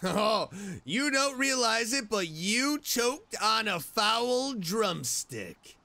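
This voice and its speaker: A woman streaming a video game with expressive, jokey, casual commentary over faint game music. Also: a little high, extremely slow, creaky, no echo. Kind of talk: casual game commentary with playful line reading.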